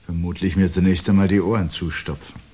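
Deep, husky, brooding man. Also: fairly even intonation, mellow. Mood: brooding, resigned. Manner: measured, dry, articulate, formal. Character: brooding, dryly ironic man awaiting looming catastrophe at home. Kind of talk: literary radio play dialogue.

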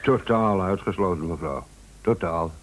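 Een acteur in een Nederlands hoorspel speelt een nuchtere radiomonteur die beleefd, precies en stellig uitleg geeft. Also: male voice, low-pitched, unhurried. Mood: matter-of-fact. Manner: polite, precise, certain. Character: matter-of-fact radio repairman, confidently explaining. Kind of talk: Dutch radio play acting.

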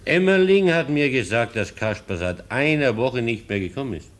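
An actor playing an assured, skeptical aristocratic baron in a 1950s German radio play. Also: male voice, mid-range voice, expressive, normal speed, natural voice.

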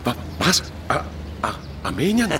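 An actor playing a cowed, evasive police chief with a nervous manner in a radio crime drama, heard in an outdoor scene. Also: male voice, fairly high pitch, wildly swooping pitch, a little slow. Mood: frightened, pleading. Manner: nervous, stammering. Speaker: supporting actor. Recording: distant, noisy outdoor street scene.

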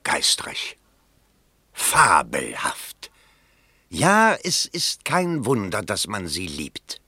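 Self-important political candidate, very low voice, bright and forceful, radio drama. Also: male voice, wildly swooping pitch, extremely slow, slightly rough, dry recording, clean studio sound, heavily acted. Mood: flattering, intrigued. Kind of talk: German radio play acting.

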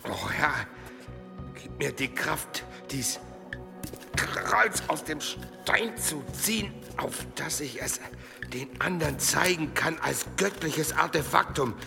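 Fervent, frightened monk, cavernous reverb. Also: male voice, a little high, expressive, slow, creaky, full character voice. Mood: awed, anxious. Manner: pleading, breathless muttering and prayers. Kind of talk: German radio play acting. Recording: long stone-hall reverb, music bed.

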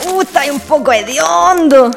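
A woman's voice: high-pitched, animated, moderate pace, vocal fry, faint music behind. A woman playing a worried, scolding young sweetheart with a Chilean accent in a radio drama. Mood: alarmed.

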